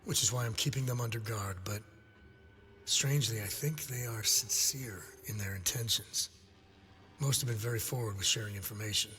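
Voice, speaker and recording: A measured, analytical male intelligence officer explains things formally in a game cutscene. His voice sits fairly low and rings through a big echoing space with faint noise. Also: bright, forceful tone. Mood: measured, informative.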